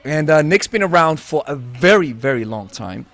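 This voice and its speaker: A man hosting a podcast interview, speaking in a friendly, halting way with many pauses. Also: a little high, expressive, a bit bright. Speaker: podcast host.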